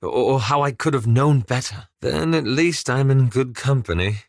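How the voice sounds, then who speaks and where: A man playing a weary, guilt-ridden elf adventurer in a video game, speaking in a measured, formal and articulate way with slight hesitance. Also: mid-range voice, gravelly, no room sound, clean studio sound, lightly acted. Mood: weary, regretful. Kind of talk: video game character dialogue.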